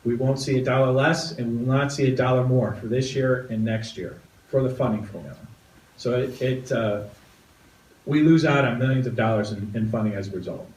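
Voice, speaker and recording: A man at a community meeting giving a measured, factual explanation of school funding. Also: medium pitch, a little mellow. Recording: roomy, distant, with faint noise.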